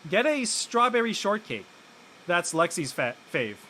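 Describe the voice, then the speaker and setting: A man playing an awkward, earnest teenage high school boy in an audio play, with a dry, slightly flustered manner. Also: very animated, measured pace, bright, forceful tone, slight background noise, heavily acted. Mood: casual, friendly. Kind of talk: conversational acting in an online audio play.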